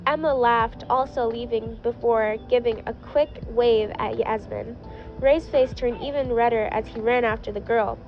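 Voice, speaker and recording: A woman narrates a story in a fairly high voice. She reads from a script, with music playing behind her and a dull, lo-fi sound. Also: steady tone, normal speed. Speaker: fan fiction reader. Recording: dull lo-fi audio.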